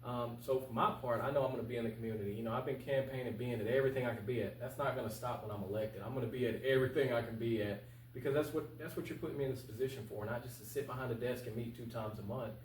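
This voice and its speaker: Young guy in his 20s talking fast, casual n emphatic, echoey room, faint hum behind. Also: medium pitch, fairly even intonation. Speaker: interview guest, candidate for local office. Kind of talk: casual, earnest campaign interview talk. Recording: roomy, distant mic with faint hum.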